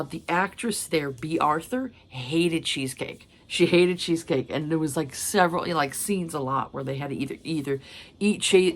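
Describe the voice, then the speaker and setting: A woman in her thirties, hoarse from a cold, chatting casually about books with many fillers. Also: low voice. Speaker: book vlogger. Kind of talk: casual chat.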